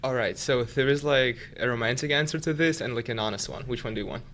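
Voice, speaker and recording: A man's voice: medium pitch, fast talker, a little hiss or hum. Young man. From Uzbekistan. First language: Russian. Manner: relaxed, leans on "like" as filler. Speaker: podcast guest, student tutor. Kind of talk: casual interview chat.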